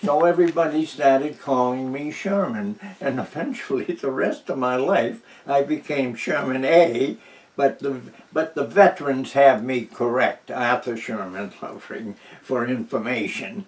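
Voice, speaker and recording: A man's voice: medium pitch, measured pace, far from the mic. A man in his nineties from New Hampshire, recalling his life in a home oral history interview, rambling with many restarts and fillers. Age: 90s. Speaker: interviewee, veteran.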